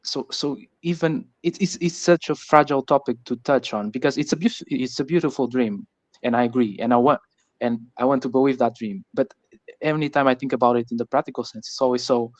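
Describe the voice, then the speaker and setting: A man's voice: medium pitch, fairly even intonation, fairly quick. A man from Portugal with a Portuguese accent, speaking in a casual discussion and often repeating words as he searches for phrasing. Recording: muffled, echoey voice-chat audio.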